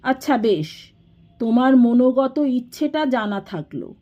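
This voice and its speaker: A woman's voice: a little high, lively intonation, measured pace, dull audio, pitched above the performer's own voice. A woman voicing a weary, wounded middle-aged elder brother in an audio storybook, curt and indignant. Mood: hurt, indignant.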